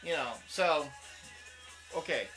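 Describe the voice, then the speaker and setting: A man's voice: fairly high pitch, takes it slowly, bright, forceful tone, faint music behind, rough recording. A man co-hosting a casual comic book talk show, rambling and restarting as he defends his opinion. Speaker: co-host.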